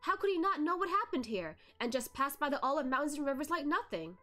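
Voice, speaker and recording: A woman reading as a sharp, needling young man. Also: high-pitched, big pitch swings, fast, soft background music, pitched above the performer's own voice, full character voice. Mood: pressing. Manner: probing, pointed questioning. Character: sharp-witted, teasing young male investigator.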